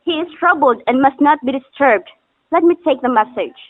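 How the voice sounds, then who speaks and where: A woman acts out an earnest young daughter with a high voice, pleading in formal, old-fashioned stage lines. The sound is dry and narrow, like a telephone. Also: lively intonation, moderate pace, dry recording. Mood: earnest, respectful. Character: young devoted daughter of a bell maker, anxious and pleading. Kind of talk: stylized stage drama recital of formal archaic lines. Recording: muffled phone-like audio.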